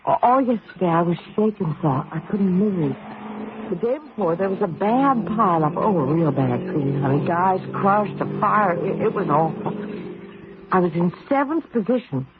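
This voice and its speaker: An actress playing an affectionate, shaken woman race driver in a radio mystery drama, earnest and halting. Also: lowish voice, animated, natural voice. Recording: noisy narrow-band old radio recording.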